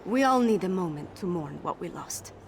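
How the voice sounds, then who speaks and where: A woman voicing a resolute young princess in a video game, speaking in a firm, earnest and measured way over game music. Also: fairly low pitch, lively intonation, vocal fry, slight background noise. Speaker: princess of the city.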